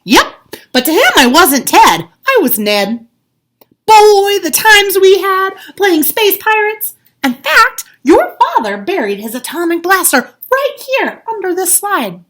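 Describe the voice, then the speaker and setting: A woman's voice: very high-pitched, very animated, takes it slowly, a bit bright, voice pushed up, heavily acted. A woman voicing a playful, childlike male imaginary friend in a storybook, with a bouncy, exclamatory, eager way of talking. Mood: nostalgic, eager.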